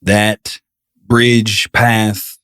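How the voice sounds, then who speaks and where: A man, a podcast guest, chatting in a lively, casual way, often saying "you know" and "like". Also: lowish voice, very slow, smooth. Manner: animated, fond of "you know" and "like". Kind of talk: casual chat.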